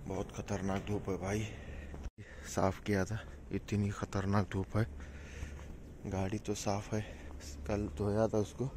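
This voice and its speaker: A man's voice: fairly low pitch, monotone, a little slow, long pauses, darkish tone. A man from India, a house driver, chatting casually to viewers as he vlogs his day while driving around the city. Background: faint traffic and street noise.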